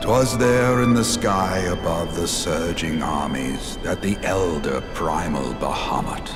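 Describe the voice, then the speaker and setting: Wise old grandad in fantasy game, super deep, slow and solemn, big echoey reverb. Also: male voice. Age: older. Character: wise, loving old grandfather, freed from a dark thrall. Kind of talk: theatrical fantasy game acting with archaic diction. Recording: long cavernous reverb under music.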